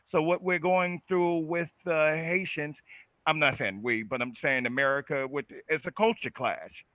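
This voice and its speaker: Man, podcast guest, video call, bright forceful voice, earnest, emphatic. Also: fairly high pitch, normal speed, no room sound, dull audio. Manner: earnest, emphatic, repeats himself. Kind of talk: casual discussion.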